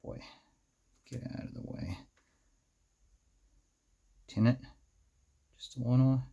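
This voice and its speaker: A man talking through hands-on work in an unscripted tutorial, musing out loud in fragments as the host. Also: medium pitch, steady tone, slow, gravelly, breathy edge. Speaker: host of a DIY circuit bending video series. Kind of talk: unscripted hands-on tutorial commentary while working.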